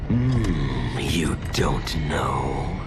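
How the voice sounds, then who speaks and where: Deep, gloating villain titan over music. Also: male voice, sing-song, heavily acted. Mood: menacing, smug. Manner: theatrical, gloating, drawn-out delivery. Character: grandiose anarchist titan villain, a menacing madman. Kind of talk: cinematic video game villain acting. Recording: loud music behind the voice. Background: loud dramatic music.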